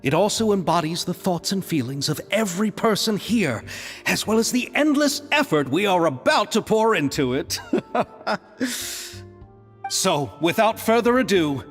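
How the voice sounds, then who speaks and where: A man's voice: high voice, sing-song, moderate pace, slightly rough, long reverb tail, faint music behind, heavily acted. An actor playing an earnest, polite and grateful male film producer in a video game, speaking formally.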